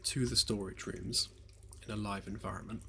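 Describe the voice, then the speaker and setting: A man developing a game on a live stream, chatting casually as he works, slightly hoarse and rambling with lots of fillers. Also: on the low side, fairly even intonation, normal speed, pushed and bright, slight background noise. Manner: rambling, hesitant, many fillers, self-deprecating jokes. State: slightly hoarse, losing his voice. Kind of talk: relaxed live-stream chat while working. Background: faint lo-fi background music.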